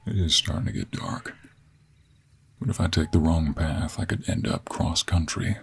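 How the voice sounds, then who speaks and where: Male actor as middle-aged cowboy hunter, deep gravelly voice, Western American drawl, gruff, teasing, weary, intimate roleplay delivery. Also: animated, heavily acted. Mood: wry, musing. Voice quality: gravelly, husky. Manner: slow, drawling, with heavy sighs and pauses. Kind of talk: intimate audio roleplay acting, addressed to the listener.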